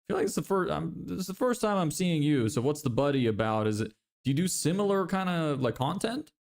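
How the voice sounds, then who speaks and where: A man's voice: a little high, animated, fairly quick, gravelly. A young man from Lithuania with an American-sounding accent, chatting loosely on a livestream, reading viewer comments and joking about himself. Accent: American-sounding English. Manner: loose, self-deprecating, swears casually, laughs often. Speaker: livestreamer building a business.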